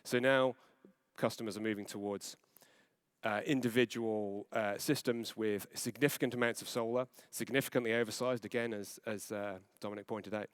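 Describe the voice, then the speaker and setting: A man giving a conference presentation, earnest and steady with occasional fillers and self-corrections. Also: fairly low pitch, smooth, no room sound, clean studio sound. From Falkland Islands. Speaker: conference panel speaker.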